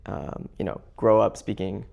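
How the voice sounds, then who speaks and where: A young man from the Seattle area, talking as an interview guest, halting with many fillers and restarts. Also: lo-fi sound. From Seattle area, United States. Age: young adult. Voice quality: gravelly low voice. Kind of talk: casual conversation.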